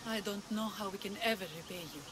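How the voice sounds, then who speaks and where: A woman's voice: medium pitch, fairly even intonation, quick pace, close to an everyday voice. A woman playing an earnest, cautious leader of an ancient Greek village in a video game cutscene. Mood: grateful. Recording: loud music behind the voice.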